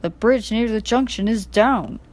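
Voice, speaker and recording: A woman's voice: fairly high pitch, measured pace, darkish tone, no echo, natural voice. A woman voicing a grumbling, protesting male train guard in a children's storybook. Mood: gloomy.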